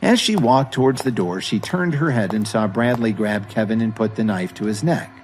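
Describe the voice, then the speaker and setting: A man's voice: lowish voice, expressive, moderate pace, faint music behind, poor audio. A man narrating a scripted audio drama as storyteller.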